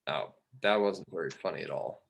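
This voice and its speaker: Low, gravelly, brooding man acted out. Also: normal speed, heavily acted. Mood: dry, probing. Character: brooding, embittered man who lost faith in God. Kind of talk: table read of a film script.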